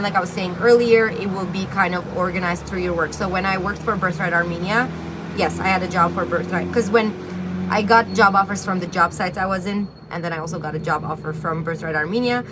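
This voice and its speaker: A young woman from Syria hosting a casual livestream Q&A, chatting loosely and reading viewer comments aloud, filling pauses with frequent ums and likes. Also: on the low side, noisy background. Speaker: livestream host. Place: outdoor balcony with greenery. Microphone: phone camera at arm's length.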